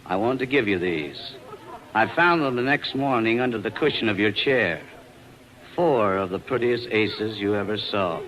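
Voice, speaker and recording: An American actor playing a brash, wisecracking young hustler with a grudge, sly and needling, in a 1940s radio drama. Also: male voice, lowish voice, expressive, moderate pace, fairly bright tone, highs cut off. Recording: old 1940s radio recording, faint music.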